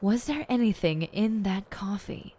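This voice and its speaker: A woman playing a smug, theatrical, teasingly bossy lead detective in an audio roleplay, with pompous and deliberate phrasing. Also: mid-range voice, expressive, warm tone. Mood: deliberate, musing.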